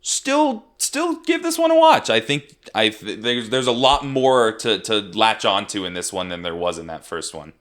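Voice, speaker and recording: A young man co-hosting a movie review chat, rambling with restarts and fillers, with a tired, gravelly voice. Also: on the low side, sing-song, normal speed, few pauses, a bit bright. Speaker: podcast co-host.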